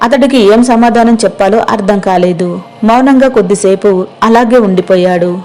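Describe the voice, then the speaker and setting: Female narrator for moral tales, mid-pitched, scripted storytelling. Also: moderate pace, darkish tone, a little hiss or hum.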